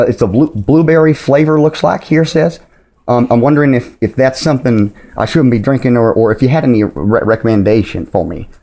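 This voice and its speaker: A sheepish, muddled middle-aged drinker played by a man on a prank phone call. He stumbles and repeats himself in a mid-pitched voice, politely apologizing as he rambles along. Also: a little mellow, lightly acted. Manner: stammering, hesitant, repeats words, overly polite. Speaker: prank caller.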